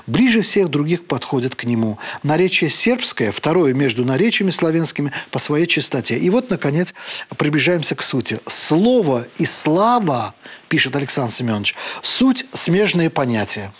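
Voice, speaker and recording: A man hosting a program, giving an earnest lecture on words. He often hesitates with "uh" and slows down to read quotations solemnly. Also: fairly high pitch. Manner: earnest and deliberate, with frequent hesitant "uh" fillers. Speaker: host of a program on language. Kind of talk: lecture-style talk on words, reading quotations aloud.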